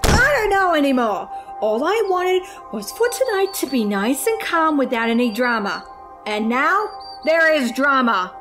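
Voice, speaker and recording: An earnest, anxious young cartoon pony voiced by a woman talks in a high, sweet register. Her delivery is slow and hesitant, with music playing behind. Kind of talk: animated cartoon voice acting.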